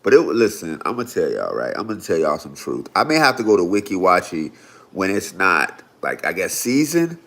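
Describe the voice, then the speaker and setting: A man's voice: low voice, very animated, normal speed, fairly bright tone. A man, talking casually and loosely to viewers on a livestream. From Florida. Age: 40s. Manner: loose, chatty, lots of "y'all" and "right?". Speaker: livestream host.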